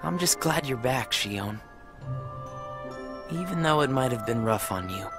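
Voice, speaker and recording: A man's voice: mid-range voice, animated, measured pace, music in the background. A man voicing a sincere, moody teenage boy in a video game cutscene, with an earnest, brooding delivery. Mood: pensive, awkward.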